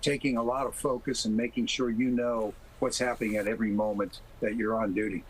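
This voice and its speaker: A man speaking as an expert guest in a TV interview, explaining things in a measured, careful way. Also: medium pitch, steady tone, slight background noise, poor audio. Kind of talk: live TV interview.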